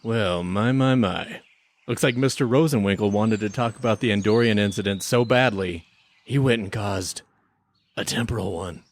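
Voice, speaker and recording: A man's voice: on the low side, normal speed, close to an everyday voice. A man playing a smug chief temporal investigator in a scripted comic radio drama, with a mock-serious, theatrical delivery. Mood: mock-ominous. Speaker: podcast creator playing himself as investigator.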